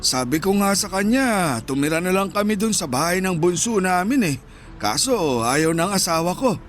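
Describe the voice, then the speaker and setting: An actor playing a shy, plaintive Filipino street vendor of sixty-five, speaking deferentially in a radio drama. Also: male voice, sits high, expressive, normal speed, soft background music. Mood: resigned. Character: mild, shy 65-year-old Filipino vendor husband.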